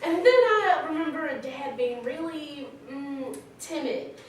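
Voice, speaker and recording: A woman's voice: high-pitched, slow. A woman playing a candid, informal thirty-something daughter reminiscing conversationally in a staged reading. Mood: animated recollection. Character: candid, teasing thirty-three-year-old suburban daughter. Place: live staged reading in a large room. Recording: echoey, noisy hall from far off.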